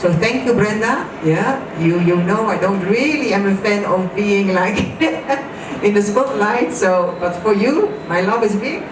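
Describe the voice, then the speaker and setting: A woman's voice: medium pitch, animated, unhurried. A Dutch-accented woman in her late forties, a relationship and family counselor, introducing herself in a rambling way with many fillers and frequent laughter. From Netherlands. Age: late 40s. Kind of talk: self-introduction at a gathering. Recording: echoey room from a distance with noise.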